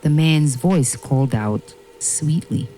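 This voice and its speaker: Slow, measured male storyteller. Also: a little high, warm tone, slight background noise, rough recording. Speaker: narrator. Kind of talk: scripted storybook narration.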